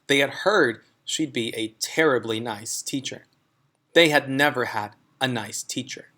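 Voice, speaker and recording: A man's voice: medium pitch, unhurried, bright, forceful tone. A man reading a children's story aloud in a scripted narration style. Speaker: storybook reader.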